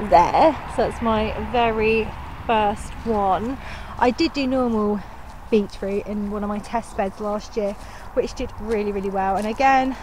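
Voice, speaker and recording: A woman in her thirties with a southern English accent, chatting casually and enthusiastically in an informal vlog, recorded outdoors on a handheld camera. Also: on the high side. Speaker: gardening vlogger. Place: outdoors on an allotment, partly in a shed. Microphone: handheld camera at arm's length. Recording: clear outdoor background noise.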